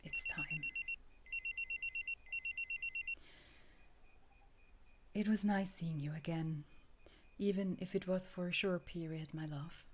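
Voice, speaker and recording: A woman acting a tender, wistful girlfriend in an intimate romantic audio roleplay, speaking softly and breathily, often dropping toward a whisper. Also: on the low side, steady tone, normal speed, natural voice. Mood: tender, resigned. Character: tender, wistful time-traveling girlfriend speaking to her beloved.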